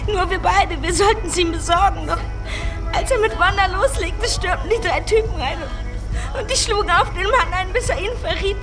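An actress playing a defiant, streetwise eighteen-year-old runaway in a crime radio drama, speaking in blunt, slangy bursts. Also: female voice, very high voice, normal speed, crowd noise. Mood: shaken. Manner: slangy, blunt, hesitant when searching for words. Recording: music behind the voice.

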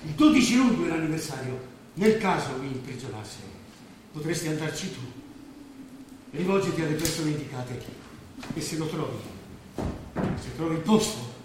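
An actor playing an earnest, anguished bookish professor in a stage drama, speaking with pleading emotion. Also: male voice, a little high, expressive, moderate pace, long pauses, lightly acted. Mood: subdued, grave. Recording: echoey theatre stage from far off, noisy.

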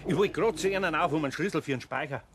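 A man's voice: on the high side, racing, noisy background, close to an everyday voice. An actor playing a worried, good-hearted older craftsman with a Bavarian accent, grumbling and flustered in a radio play. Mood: flustered pleading. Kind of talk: German children's radio play acting.

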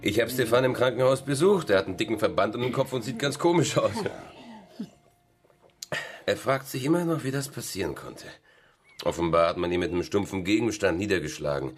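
An actor playing a family friend who soberly passes on news in a conversational radio drama scene. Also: male voice, fairly low pitch, animated, fairly quick, natural voice. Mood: concerned. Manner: conversational, informative.

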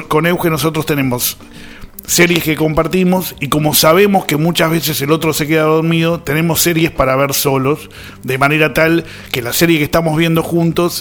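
A man's voice: a little high, fairly bright tone. Argentine man chatting casually on radio. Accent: Argentine, Buenos Aires. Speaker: radio host.

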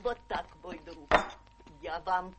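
A woman's voice: on the low side, takes it slowly, heavy background noise. An actress in a Russian radio play playing a devoted, fussy middle-aged lady companion, plaintive and fawning. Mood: fawning, anxious. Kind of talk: Russian theatrical radio drama acting.

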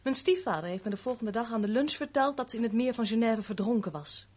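A woman's voice: fairly high pitch, fast, a little mellow, close to an everyday voice. An actress playing a reserved, guarded young woman who speaks tersely in a 1970s radio drama. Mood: reluctant, subdued. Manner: terse, reticent answers.

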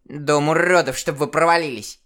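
A man's voice: on the high side, fairly even intonation, normal speed, fairly bright tone, pitched above the performer's own voice, natural voice. A man voicing a grumpy old female lift dispatcher who scolds through a crackly intercom speaker in an audiobook. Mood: cranky. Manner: scolding, cranky. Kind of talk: audiobook character voice.

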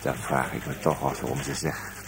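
An actor playing a wry, sarcastic, restless police detective in a radio drama, muttering hesitantly and breaking into exclamations. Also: male voice, extremely low pitch, steady tone, normal speed, crowd noise. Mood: probing. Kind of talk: Dutch radio drama acting. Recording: noisy old radio drama recording.

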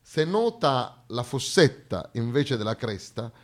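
A man's voice: medium pitch, very animated, takes it slowly. A man performs satirical theatre. He delivers a mock lecture, breaking off for ironic asides to the audience. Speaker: stage performer, satirical monologist.

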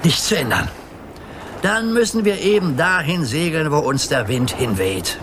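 Grandpa skipper for a children's radio play, swooping fairly high voice, indulgent yet commanding, boat and water sounds behind. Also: male voice, sing-song, unhurried, background noise. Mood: resigned, indulgent. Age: older. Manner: theatrical nautical commands, indulgent. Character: playful grandfather acting as sea captain, good-natured.

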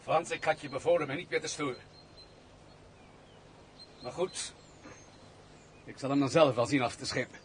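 An actor playing a wounded, gruff nobleman who shuns mankind, speaking formally and theatrically in a radio drama. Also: mid-range voice, moderate pace, pauses often, slight background noise, close to an everyday voice. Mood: gruff, curt. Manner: formal, theatrical, sorrowful storytelling. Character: bitter, wounded nobleman turned gruff reclusive misanthrope. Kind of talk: old radio drama acting.

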